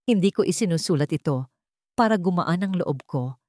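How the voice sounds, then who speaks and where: Low, earnest, remorseful jailed mistress, woman acting. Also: lively intonation, moderate pace, dark tone, no echo, clean studio sound, lightly acted. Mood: remorseful, consoling. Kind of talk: dramatic storybook letter reading.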